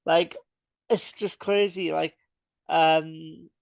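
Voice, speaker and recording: A young man co-hosting a metal podcast, his speech rambling and full of restarts. His voice sits a bit high and carries a gravelly, smooth edge. The sound is dry and dull, like a lo-fi podcast mic. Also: fairly even intonation, drawn-out words, no echo. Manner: rambling, many fillers and restarts. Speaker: podcast co-host. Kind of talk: casual chat.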